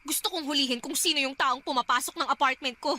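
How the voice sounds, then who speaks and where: A woman's voice: high voice, fairly even intonation, quick pace, pushed and bright. A woman acting a frightened, defiant young woman stalked at home, in a Filipino radio drama. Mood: guilty, uneasy.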